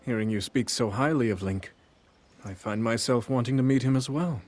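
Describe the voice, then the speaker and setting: An actor playing a dignified, kindly ancient king in a video game cutscene, with formal, measured phrasing. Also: medium pitch, darkish tone, faint music behind, close to an everyday voice. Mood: amused, kindly.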